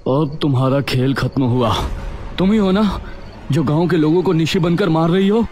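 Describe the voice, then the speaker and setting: A man's voice: on the high side, moderate pace, warm tone, voice pushed up, lightly acted. A man playing a resolute, commanding undercover police inspector in a story. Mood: accusing.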